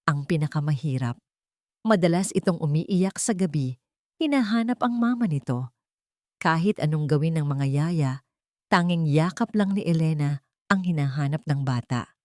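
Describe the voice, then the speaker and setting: Mababang boses ng babaeng tagapagsalaysay. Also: female voice, sits low, darkish tone, no room sound, clean studio sound. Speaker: storyteller. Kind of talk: scripted dramatic narration of a romance story.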